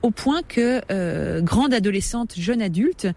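A woman from France in her forties, a writer giving a reflective personal account, pausing often with hesitant fillers and careful rephrasing. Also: mid-range voice, lively intonation, gravelly, mellow. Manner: hesitant, frequent "euh" pauses, careful rephrasing.

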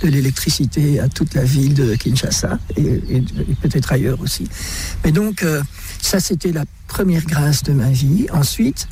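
Homme belge âgé, conteur enjoué. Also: male voice, on the high side, moderate pace, a little hiss or hum. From Belgium, raised in Brussels. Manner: lively storyteller, chuckles often. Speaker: interview guest. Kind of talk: radio interview, personal testimony.

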